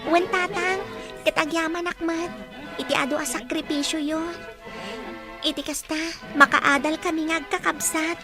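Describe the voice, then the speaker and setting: A dutiful teenage girl in a radio drama, her voice very high and girlish. She speaks tenderly and earnestly, full of gratitude, over a music bed. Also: fairly even intonation, normal speed. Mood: grateful. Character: grateful, dutiful teenage eldest daughter finishing high school. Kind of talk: Ilocano radio drama acting. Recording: music bed under the dialogue.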